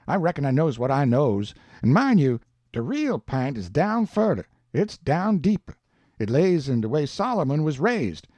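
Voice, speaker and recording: A man's voice: a little high, dark tone, dry recording, pitched above the performer's own voice. A man voicing an earnest, stubborn runaway slave in an audiobook, with a Southern American accent and a folksy, emphatic way of arguing. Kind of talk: audiobook character dialogue.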